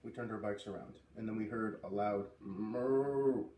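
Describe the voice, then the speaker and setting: A man's voice: fairly low pitch, steady tone, a little fast, phone-quality sound. A man hosting a read-aloud, narrating a children's book. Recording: echoey room, distant mic, faint noise.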